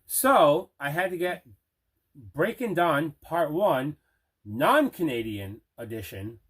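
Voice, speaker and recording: A middle-aged man casually showing items in an unboxing, deliberate and repeating himself. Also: a little high, very animated, a bit bright, husky. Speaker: host of a movie collecting show. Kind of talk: casual unboxing show-and-tell.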